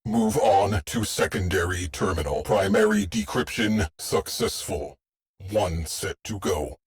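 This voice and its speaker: A man voicing a terse, dry shipboard AI tactician in a video game, speaking in clipped, deadpan military phrases. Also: sits low, unhurried, slightly rough. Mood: terse, businesslike. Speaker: mission control voice. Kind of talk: scripted game voice lines.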